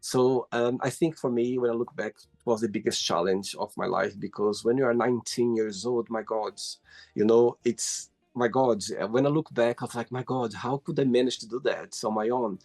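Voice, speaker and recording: A man from Brazil, a native Portuguese speaker, hosting a casual interview in English with an effusive, animated manner. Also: medium pitch, moderate pace, soft background music. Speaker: host. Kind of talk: casual video call interview.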